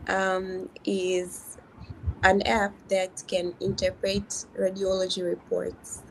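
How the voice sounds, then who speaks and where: Tanzanian woman, hesitant and halting. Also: mid-range voice, completely flat delivery, vocal fry, slight background noise, low-quality recording. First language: Swahili. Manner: hesitant, restarts words, slows on key points. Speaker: doctor pitching a health app. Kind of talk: video call mentoring session.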